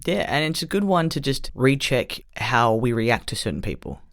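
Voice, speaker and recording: A man's voice: mid-range voice, very animated, normal speed, a bit bright, no room sound, studio quality. A young man co-hosting a podcast, talkative and explaining things at length. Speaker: podcast co-host and teacher. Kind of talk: casual chat.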